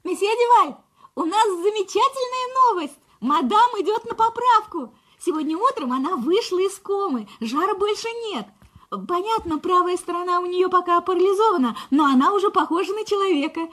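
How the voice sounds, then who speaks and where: An actress playing a cheery hospital nurse in a radio drama, coaxing and encouraging. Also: female voice, extremely high pitch, animated, normal speed, fairly bright tone. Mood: bright, reassuring. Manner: chirpy, coaxing, sing-song encouragement. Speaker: actor. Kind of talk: radio play acting.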